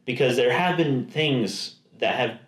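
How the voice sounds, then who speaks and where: A man's voice: mid-range voice, unhurried. Rambling male podcast co-host, echoey room. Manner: rambling, full of restarts and quoted asides. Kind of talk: casual chat.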